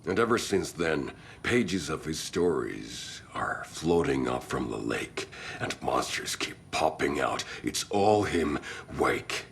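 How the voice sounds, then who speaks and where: Cult boss for a game cutscene: very deep, creaky, sly and menacing, faint rumbling noise behind. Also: male voice, sing-song, takes it slowly, few pauses, fairly bright tone, heavily acted. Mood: grim. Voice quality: gravelly. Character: gravelly local male cult leader, menacing and self-assured. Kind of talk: video game cutscene acting. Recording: light background noise with low rumble.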